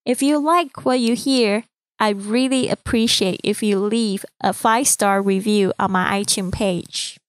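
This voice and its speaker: A woman in her thirties from Taiwan with a Mandarin accent, a podcast host reading a calm, earnest, inspirational script. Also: medium pitch, a little slow, dry recording. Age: 30s.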